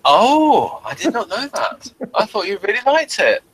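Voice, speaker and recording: Bright-voiced man hosting an informal review show. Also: high-pitched, huge pitch swings, slightly rough, dry recording, poor audio. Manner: halting, digressive, self-correcting. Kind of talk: informal review chat, reading out a summary.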